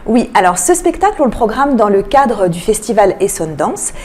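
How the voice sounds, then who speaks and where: Woman, arts programmer as radio guest. Soft-edged mellow tone, explanatory, hesitant delivery. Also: medium pitch, big pitch swings, normal speed. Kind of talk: radio interview, presenting a cultural programme. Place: radio interview.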